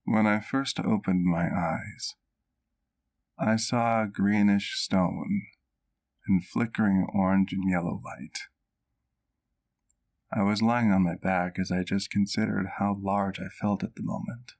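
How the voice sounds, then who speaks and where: A man playing a courteous, caring vampire doctor in an intimate ASMR roleplay, speaking formally and with measured, old-fashioned phrasing. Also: on the low side, fairly even intonation, darkish tone. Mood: reflective storytelling. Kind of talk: ASMR roleplay, intimate one-sided dialogue to the listener.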